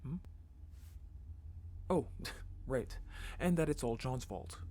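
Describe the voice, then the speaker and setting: Mellow-toned young rebel soldier for an audio drama, played by a man, wry, faint hiss. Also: mid-range voice, takes it slowly, close to an everyday voice. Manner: wry, blunt.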